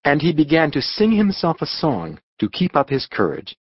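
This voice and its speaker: Husky, animated male storyteller. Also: a little high, normal speed, dry recording, lo-fi sound. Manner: dramatic, expressive storytelling. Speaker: audiobook narrator. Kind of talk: scripted storybook narration.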